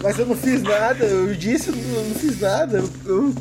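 A woman's voice: mid-range voice, a little fast, natural voice, rough recording. A woman playing a panicked young male suspect protesting an arrest in a tabletop game. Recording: loud noise with clicks.

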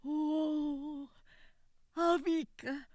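A woman voicing a frail, tender old mother who speaks in halting, emotional exclamations in a read-aloud historical novel. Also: high-pitched, steady tone, darkish tone, dry recording, clean studio sound, voice pushed up. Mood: tearful relief.

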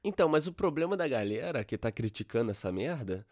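A man's voice: mid-range voice, big pitch swings, fast talker, darkish tone, no room sound, clean studio sound. A young man co-hosting a casual football chat, rambling with restarts and slang. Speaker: podcast co-host.

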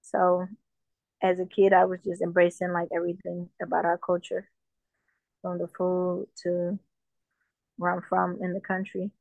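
A woman from Jamaica, a musician guest, talking casually in an interview with a relaxed manner and lots of fillers. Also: lowish voice, measured pace, creaky, long pauses, dry recording. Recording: dull, dry lo-fi sound.

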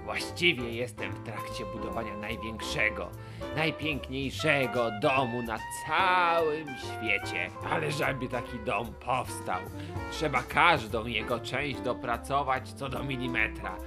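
Casting: a man to play a dramatic perfectionist crocodile, anxious and halting, slow delivery over music, for a kids' story. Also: medium pitch, close to an everyday voice. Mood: proud, earnest. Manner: fretful, halting, theatrical. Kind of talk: children's audio fairy tale acting.

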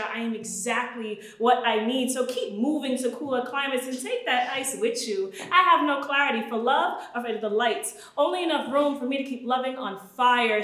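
A woman performing spoken word poetry with a deliberate, expressive delivery. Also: on the high side. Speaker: poet. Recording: roomy echo.

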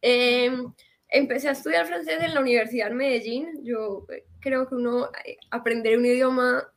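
A woman's voice: on the high side, normal speed. A woman from Colombia with a Colombian accent, a guest recounting her experience in a casual interview over a video call, talking with many fillers and restarts. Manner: animated storytelling with fillers and restarts.

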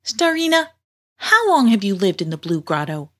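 A woman's voice: mid-range voice, big pitch swings, moderate pace. A woman voicing a sweet, anxious young girl in a storybook, with an earnest, pleading manner. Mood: questioning.

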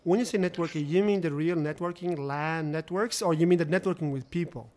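A man's voice: on the high side, a little mellow. Chatty podcast host man. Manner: loose phrasing, quick interjections. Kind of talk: casual interview hosting.

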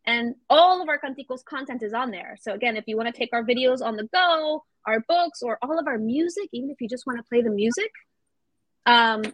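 A woman's voice: high-pitched, a bit bright, dry recording, low-quality recording. A woman from Venezuela, a podcast guest who talks with enthusiasm and slips Spanish phrases into her English. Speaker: guest, founder of a children's media brand. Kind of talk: podcast interview.

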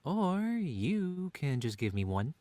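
A man's voice: medium pitch, big pitch swings, slow pace, warm tone, no echo. A man playing a playfully bickering, doting stay-at-home dad in an audio drama, wry but earnest. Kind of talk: two-hander audio drama reading.

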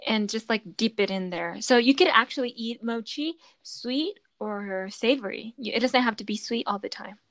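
Woman podcast host, higher voice, dry close sound, easygoing and talkative. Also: moderate pace, hardly any pauses, a bit bright, no room sound. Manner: chatty, explaining with many fillers. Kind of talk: casual chat.

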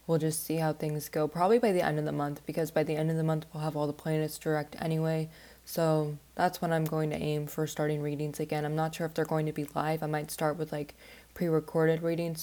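A woman talks through her astrology podcast in a loose, rambling way, full of little fillers. Her voice sits low and stays fairly level, and her nose sounds stuffed up from a recent flu. The tone is mellow rather than bright. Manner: rambling and chatty, with many "like" fillers. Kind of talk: casual podcast monologue.

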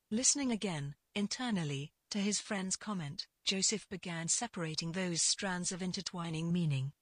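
A woman narrates a piece of literary fiction with measured, deliberate phrasing. Her voice is slightly low and noticeably husky. The recording is dry, with almost no room sound. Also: a bit bright, no echo.

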